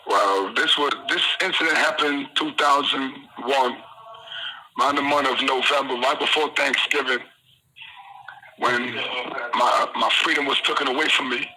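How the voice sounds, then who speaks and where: A man's voice: mid-range voice, normal speed, noisy background. A man from Trinidad telling his story over a phone line in a rambling, street-slang way. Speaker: incarcerated guest on the phone. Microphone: phone call.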